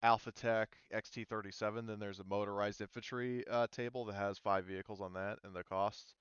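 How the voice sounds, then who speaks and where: A man's voice: lowish voice, fairly even intonation, fairly quick, a bit bright, smooth, no echo, lo-fi sound. A man acting as game master, talking players through a tabletop game in a casual, informal way with some swearing.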